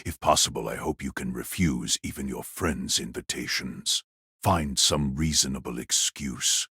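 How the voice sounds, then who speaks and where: A man playing a stern, commanding elite warrior in an audio drama, curt and husky. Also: a bass voice, unhurried, bright, forceful tone, no echo, studio quality, full character voice. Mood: firm, apologetic. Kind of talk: audio drama character acting.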